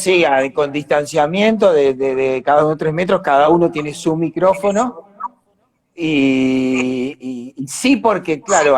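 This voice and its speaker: An Argentine man, an actor being interviewed, talking casually and reflectively as he explains things and searches for words. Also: on the high side. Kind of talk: casual live conversation.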